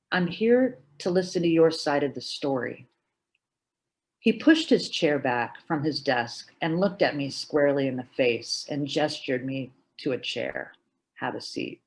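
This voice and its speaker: A middle-aged woman reads her own personal essay dramatically, with deliberate pauses and emphatic stresses. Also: sits low. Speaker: writer reading own work.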